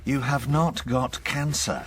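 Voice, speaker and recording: Actor as a curt British husband, clattering crockery. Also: male voice, medium pitch, slow, noisy background, natural voice. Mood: dismissive. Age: middle-aged. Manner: dismissive, curt. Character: controlling, dismissive middle-aged husband, curt and irritable. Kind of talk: naturalistic radio drama acting.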